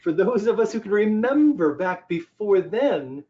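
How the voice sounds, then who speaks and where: A man's voice: high voice, very animated, measured pace, dark tone. An older man giving a conversational presentation on business technology, explaining things by posing questions and answering them. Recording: dull, lo-fi audio.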